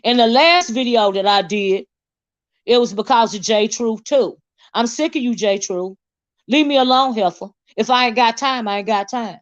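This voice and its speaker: A woman hosting a casual livestream with sassy, animated, teasing talk and frequent laughter. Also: on the high side, moderate pace, dry recording, highs cut off. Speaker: channel host.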